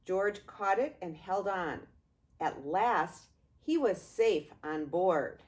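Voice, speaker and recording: An older woman, a grandmother, reading a children's story aloud in an expressive way, drawing out words and pausing. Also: fairly low pitch. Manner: expressive, with drawn-out words and pauses.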